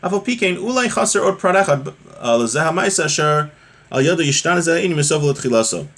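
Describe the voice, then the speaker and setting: A man's voice: a little high, animated, quick pace, fairly bright tone. A man giving a religious lesson, reading from a text and explaining it conversationally with rhetorical questions. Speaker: religious teacher.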